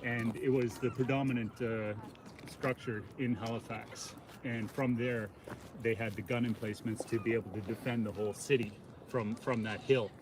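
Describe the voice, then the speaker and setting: Male walking-tour host, halting off-the-cuff explanations, mid-range pitch, recorded outdoors with clear traffic noise. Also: fairly even intonation. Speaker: livestream host and informal walking guide. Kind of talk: casual unscripted commentary while walking. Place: outdoors on a city waterfront. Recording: noisy outdoor city audio with traffic.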